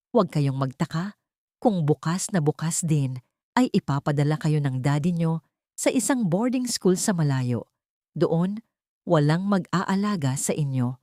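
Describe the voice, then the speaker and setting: Manipulative society villainess, played by a female narrator, lowish voice, calculating, for an audiobook melodrama. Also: dry recording, studio quality. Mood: cold threatening. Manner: soft, coaxing or coldly menacing. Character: scheming, manipulative rich woman, sweet-talking and cruel.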